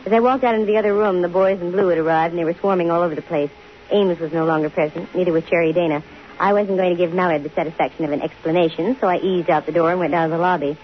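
A woman's voice: fairly low pitch, steady tone, rapid-fire, natural voice. An actress playing a bold, dryly wisecracking female private investigator in a 1950s radio detective drama. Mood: wry, brisk. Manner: dry, wisecracking. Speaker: lead actress and first-person narrator of a detective radio show. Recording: hissy, muffled old radio recording.

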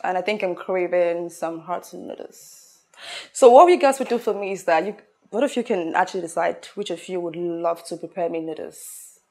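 A woman's voice: on the low side, normal speed, close to an everyday voice. An actress playing a haughty, hot-tempered rich woman who scolds and repeats her questions emphatically in a film drama. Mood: haughty, demanding. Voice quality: loud, shouting when angered. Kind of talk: low-budget film drama acting.

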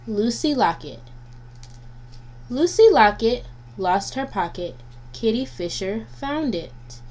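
A woman reading nursery rhymes aloud for children as a guest reader, in a deliberate, sing-song way. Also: fairly high pitch, sing-song, darkish tone. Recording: noisy home recording with hum.

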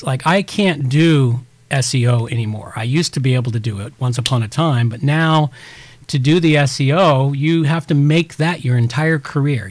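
A man's voice: medium pitch, no room sound. A man hosting a tech podcast, explaining things casually in a rambling way with many restarts and everyday examples. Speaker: podcast host.

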